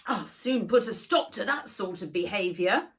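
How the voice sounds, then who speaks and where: A woman's voice: mid-range voice, animated, normal speed, fairly bright tone, close to an everyday voice. A woman voicing a stern, bossy nanny in a children's story, with a strict, scolding delivery. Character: stern, bossy, bushy-browed old-country nanny.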